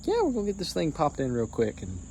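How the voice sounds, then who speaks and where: A man's voice: fairly high pitch, huge pitch swings, fast talker, dark tone. Folksy man rambling outdoors. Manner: rambling, folksy, restarts phrases while working. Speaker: DIY truck vlogger. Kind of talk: casual how-to vlog. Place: outdoors beside a truck, crickets around. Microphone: handheld camera, no tripod. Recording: noisy outdoor recording with crickets.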